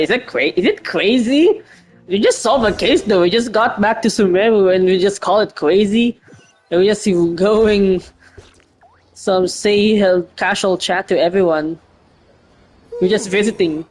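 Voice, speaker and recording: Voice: mid-range voice, measured pace, darkish tone, faint music behind. A young adult streamer giving informal, muttered reactions and casual commentary over a video game.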